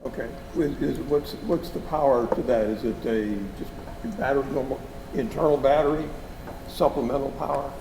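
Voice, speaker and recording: A man's voice: mid-range voice, fairly even intonation, moderate pace. A man in the audience casually asking questions from a distance in an echoey, noisy room. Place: indoor meeting room, far from mic. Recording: echoey, distant mic, clear background noise.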